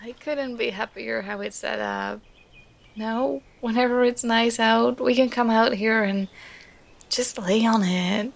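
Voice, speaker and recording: A woman playing an affectionate, contented girlfriend in an ASMR roleplay, speaking in a tender, soothing, intimate and unhurried manner. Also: fairly high pitch, vocal fry. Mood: happy, affectionate.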